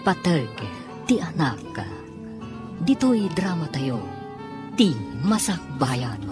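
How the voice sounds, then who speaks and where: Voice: medium pitch, sing-song, slow, over music. A radio drama announcer narrating from a script in a dramatic, scripted style.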